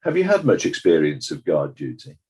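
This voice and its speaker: A man's voice: extremely low pitch, huge pitch swings, a little mellow, husky, full character voice. A man playing a stern, sceptical coroner who questions witnesses formally in a dramatized inquest. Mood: sceptical, probing. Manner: formal, measured, probing. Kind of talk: scripted courtroom drama.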